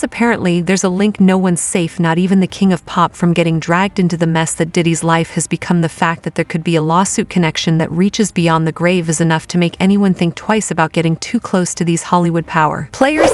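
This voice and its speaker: A woman delivering scripted gossip narration in a dramatic, conversational way. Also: on the low side.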